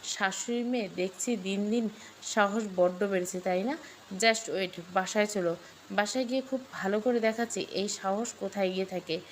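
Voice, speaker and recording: A woman's voice: medium pitch, normal speed, slight background noise, natural voice. Woman voicing a stern, possessive husband. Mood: stern, threatening. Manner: stern, threatening. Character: stern, possessive, hot-tempered husband, a professor. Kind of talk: storybook dialogue.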